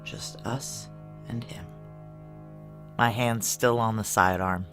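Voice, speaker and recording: A tough, weary woman who runs security in a noir sci-fi audio drama. She is clipped and deadpan, used to giving orders. Faint music runs behind her. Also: extremely low pitch, slow pace, full character voice. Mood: low, wary. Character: tough, wary female security chief, dry and weary.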